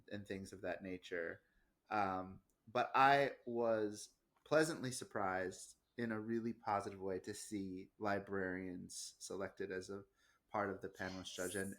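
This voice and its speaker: American guy with a lowish voice chatting on a podcast, measured and earnest. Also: a bit bright. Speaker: podcast co-host. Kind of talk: casual podcast discussion.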